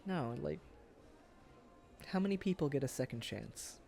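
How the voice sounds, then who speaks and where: A young man, played by a male voice actor, woos an old sweetheart in an intimate boyfriend roleplay. He takes his time, in a mid-range voice. His delivery is casual, halting and earnest, with a tipsy, playful tenderness. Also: medium pitch, expressive, vocal fry, warm tone. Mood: tender, pleading. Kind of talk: boyfriend ASMR roleplay acting.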